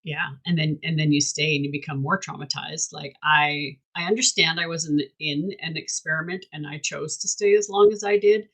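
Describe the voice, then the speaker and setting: A woman's voice: deep voice, steady tone, normal speed, hardly any pauses, a bit bright, breathy edge. A woman hosting a candid casual chat, talking emphatically and bluntly and swearing freely. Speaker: host.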